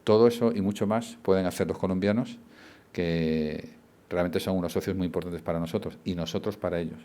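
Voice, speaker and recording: Deep-voiced man, event speech, rambling, many restarts. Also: fairly even intonation, fairly quick, mellow. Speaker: law firm partner giving a speech.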